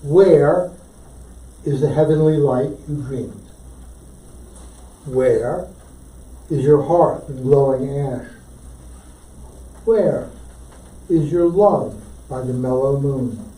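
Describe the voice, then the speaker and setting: Guy reading a poem super slowly, dark mellow voice, echoey room. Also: mid-range voice. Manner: deliberate, broken into short phrases. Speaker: poetry reader. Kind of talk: poetry recitation at a live reading. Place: indoor library poetry reading. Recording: echoey room, distant from mic.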